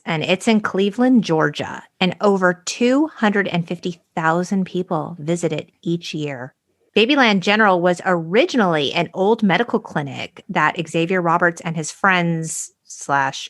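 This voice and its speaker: A woman's voice: fairly low pitch, fairly bright tone, dry recording, studio quality. A woman co-hosting a podcast, talking conversationally through her notes with a wry, playful manner. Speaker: podcast co-host. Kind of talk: conversational podcast talk with read-out notes and quotes.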